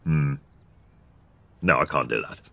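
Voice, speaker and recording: A British man voicing a bumbling, childish cartoon character who mumbles nervously in halting bursts and exclamations. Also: rumbling low, gravelly, loud music behind the voice, exaggerated voice. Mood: coaxing, awkward. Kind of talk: cartoon voice acting.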